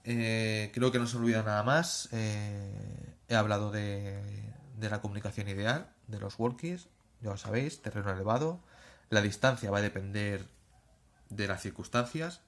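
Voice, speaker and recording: A man's voice: fairly low pitch, moderate pace. A man with an accent from Spain giving an informal, didactic explanation to viewers, often checking in with short tag questions. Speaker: prepper video presenter.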